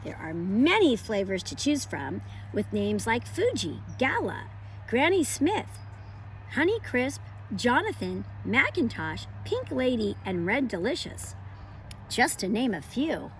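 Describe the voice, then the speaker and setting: A woman presenting a children's lesson and picture book read-aloud as a homeschool teacher, with expressive, emphatic delivery aimed at young children. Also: a little high, a little slow, a little hiss or hum, rough recording.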